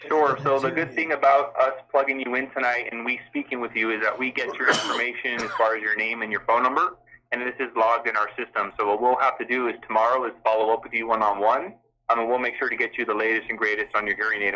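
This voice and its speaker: A man's voice: mid-range voice, flat delivery, fast talker, sharp tone, a little hiss or hum. A man moderating a live question-and-answer call as an official, speaking in a courteous, formal and somewhat hesitant way. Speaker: call-in town hall moderator and health system official. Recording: dull telephone conference line.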